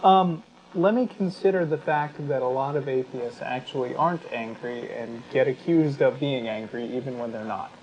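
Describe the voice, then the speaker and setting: A man's voice: mid-range voice, normal speed, darkish tone. Man explaining, slightly dull noisy audio. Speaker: co-host of a talk show. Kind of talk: conversational analytical discussion.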